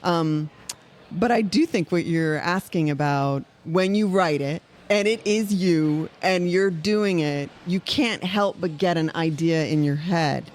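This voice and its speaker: A woman playwright chatting on a podcast in an animated, fast-paced way, with laughing asides. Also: fairly low pitch. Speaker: playwright guest. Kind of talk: casual chat.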